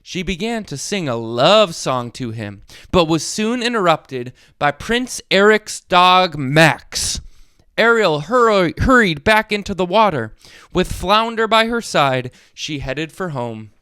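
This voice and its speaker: A man tells a story for young children in a high, clear voice. His delivery swings widely in pitch and stays expressive, as if talking straight to little kids. The sound is dry and close. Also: takes it slowly, no room sound. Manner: expressive, addresses young listeners directly. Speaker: storyteller, host of a read-along channel. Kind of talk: animated children's read-aloud with short asides.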